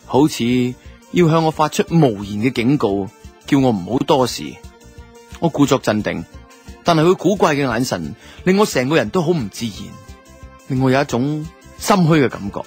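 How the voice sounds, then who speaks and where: A man's voice: mid-range voice, animated, moderate pace, darkish tone, soft background music, close to an everyday voice. A man with a Hong Kong Cantonese accent playing a guilty, self-justifying two-timing boyfriend who narrates a radio drama in colloquial first person. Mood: guilty, confessional. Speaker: lead actor and narrator.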